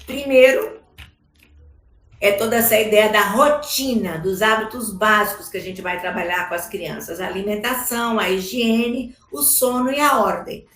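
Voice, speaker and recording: A woman's voice: medium pitch, moderate pace, a bit bright, echoey room. A woman with a Brazilian Portuguese accent giving an explanatory conference talk about slides, often adding tag questions. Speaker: education speaker. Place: large conference hall.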